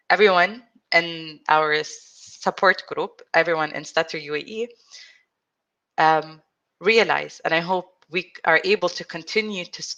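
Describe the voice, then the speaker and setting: A woman in her thirties, an interview guest telling her own story in an earnest, reflective way, speaking with a stutter, frequent restarts and pauses. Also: fairly low pitch, a bit bright, lo-fi sound. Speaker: interview guest, support group founder. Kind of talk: personal storytelling in conversation.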